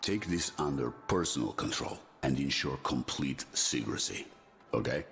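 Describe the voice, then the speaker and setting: An actor playing a middle-aged military commander in a game drama, chummy and persuasive but ruthless and used to giving orders. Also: male voice, a bass voice, animated, normal speed, creaky, fairly bright tone, faint music behind, heavily acted. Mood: secretive, commanding. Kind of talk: dramatic video game cutscene acting.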